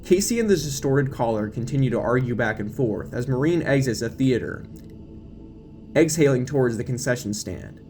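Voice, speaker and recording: A man's voice: medium pitch, expressive, normal speed, a little mellow, a little hiss or hum. A man reading scripted, dramatic narration of a horror story as the narrator, with music playing behind his voice.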